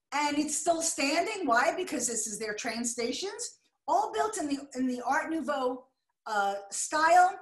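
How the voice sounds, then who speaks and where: An older American woman giving a chatty, excitable slideshow lecture on a video call, now and then apologizing when the slides skip. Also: high voice. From United States. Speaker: art deco lecturer. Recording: echoey room.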